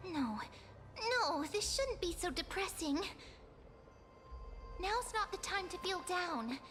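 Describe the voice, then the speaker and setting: A woman voicing a sweet, timid and earnest girl adventurer in an anime-style game, speaking hesitantly, with a soft-hearted manner. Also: extremely high pitch, big pitch swings, slow pace, faint music behind, full character voice. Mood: wistful.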